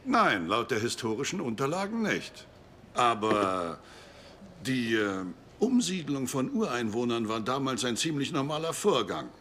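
A male anthropology professor in a drama, scholarly and measured as he explains. His mid-pitched voice moves with lively intonation. There is slight noise in the background. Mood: thoughtful. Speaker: actor in an audio drama or dubbed series. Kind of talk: dramatic dialogue acting.

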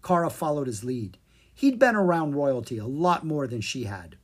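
Low-pitched, husky woman reading a children's story. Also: sing-song, normal speed. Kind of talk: expressive scripted narration for children.

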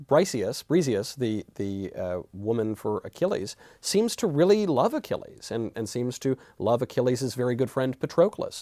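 A man hosting a conversational book discussion, inquisitive and a little halting as he frames his points. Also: mid-range voice, darkish tone, dry recording, clean studio sound. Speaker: interview host.

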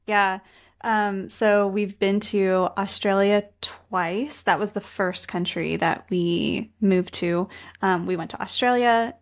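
Friendly woman, modest guest. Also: medium pitch. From West Virginia, US. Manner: friendly, modest, laughs easily, many ums. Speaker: guest, entrepreneur. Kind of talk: casual interview chat.

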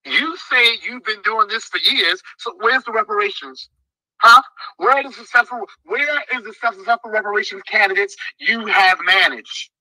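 Forceful high-voiced man giving a fiery speech. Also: moderate pace, no echo, rough recording. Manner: forceful, emphatic, repetitive. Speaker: political candidate. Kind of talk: impassioned speech in an online audio room.

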